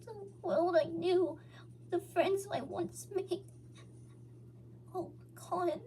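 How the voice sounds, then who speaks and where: A woman's voice: very high-pitched, fairly even intonation, very slow, mellow, recorded from a distance, a little hiss or hum, pitched above the performer's own voice, heavily acted. A woman voicing a grieving young hero in a dramatic story reading, lamenting tearfully and drawing out the words over a lost world.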